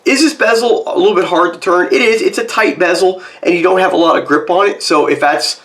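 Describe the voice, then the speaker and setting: A North American man in his forties, slightly hoarse from a cold, giving a casual, opinionated product review in a conversational, emphatic way. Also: high-pitched, fairly quick, fairly bright tone. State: getting over a cold. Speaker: watch reviewer. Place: small home office, indoors.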